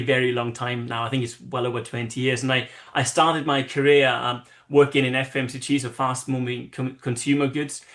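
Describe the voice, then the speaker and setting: A man's voice: mid-range voice, a bit bright. A man in his forties with an Austrian accent, giving casual interview answers over a video call, enthusiastic and rambling with fillers. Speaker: guest, marketing consultant.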